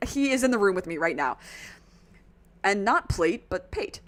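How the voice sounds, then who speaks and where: A woman streamer chatting casually over a game, hoarse from losing her voice, giggly and rambling. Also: mid-range voice, sing-song, moderate pace, a bit bright, a little hiss or hum. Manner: giggly, rambling and self-deprecating. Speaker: let's play streamer.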